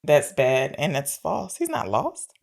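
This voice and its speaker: A woman with a distinctly low voice and a lot of vocal fry hosts a casual film discussion. She comes across as playful and bantering, like a podcast co-host talking with a friend. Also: huge pitch swings, slow, darkish tone.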